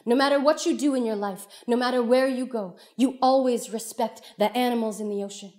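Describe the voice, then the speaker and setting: A woman's voice: on the high side, a little fast, darkish tone, close to an everyday voice. A woman voicing a patient, earnest mother in a folk tale, teaching her young son with emphatic, instructive phrasing. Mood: earnest, firm.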